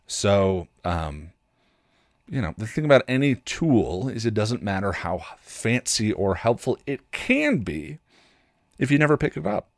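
A man's voice: lowish voice, very animated, measured pace, dry recording, studio quality. A man hosting a casual solo podcast in a rambling, self-deprecating way, often acting out his own inner dialogue. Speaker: podcast host.

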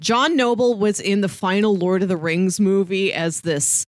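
A woman's voice: mid-range voice, normal speed, dry recording. A woman co-hosting a podcast, talking casually in a conversational review and recap style. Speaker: podcast co-host.

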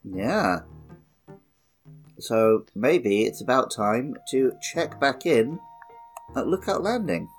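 A man co-hosting a podcast, chatting casually about a video game and often thinking aloud in a halting way. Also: mid-range voice, expressive, faint music behind. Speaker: podcast co-host.

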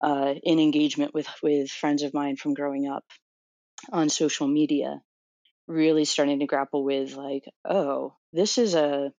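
Woman from florida thinking out loud, lots of ums, pretty flat delivery, a bit dull sounding. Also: sits low, dry recording. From Florida, USA. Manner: halting, many fillers and restarts, thoughtful. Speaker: doctoral student, interview guest. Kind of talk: reflective interview answer.

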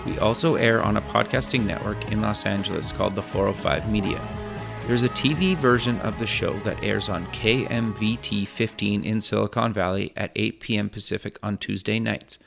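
A man in his thirties hosting an interview show, reading a promo and then asking casual, halting questions. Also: lowish voice, creaky. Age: 30s. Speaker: host. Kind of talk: podcast interview.

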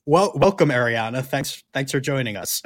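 A man co-hosting a casual sports podcast, explaining things in a wry, measured way. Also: mid-range voice, expressive, a bit bright, no echo. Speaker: podcast co-host.

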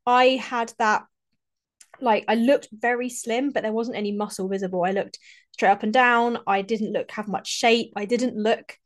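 A woman's voice: on the high side, steady tone, moderate pace. A woman in her thirties hosts her own podcast, sounding eager and conversational. A lingering cold leaves her voice a touch congested. She often repeats or restarts phrases as she talks. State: slightly congested, recovering from a cold. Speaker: podcast host and fitness coach. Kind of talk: solo podcast talk.

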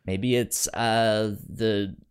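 A man co-hosting a podcast in a casual book discussion, speaking haltingly and often repeating sounds and words as he goes. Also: lowish voice, fairly even intonation, clean tone. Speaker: podcast co-host.

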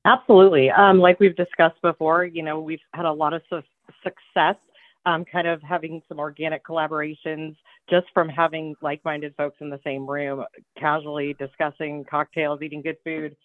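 A woman's voice: deep voice, level tone, moderate pace, dry recording. A woman speaking casually in an interview as a business development guest. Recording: thin phone-like band, very dry.

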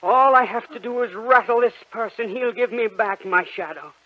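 An actor playing a desperate young count without a shadow, with anguished, overwrought pleading, in old-time radio acting. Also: male voice, extremely high pitch, moderate pace. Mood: despairing. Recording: dull old radio broadcast recording, faint hiss.

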